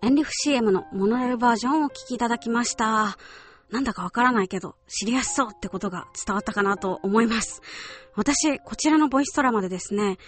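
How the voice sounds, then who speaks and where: A woman's voice: a little high, fast talker. Woman hosting a radio show over music. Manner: explanatory, courteous, addresses listeners directly. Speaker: radio show host, voice actress. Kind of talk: polite solo radio show presentation.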